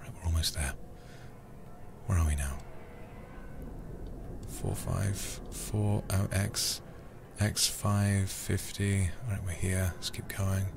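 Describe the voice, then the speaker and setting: A man streaming a horror game in ASMR style, speaking in a hushed voice and swearing freely. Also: deep voice, steady tone, takes it slowly, creaky, pauses often, background noise. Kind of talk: ASMR game livestream commentary.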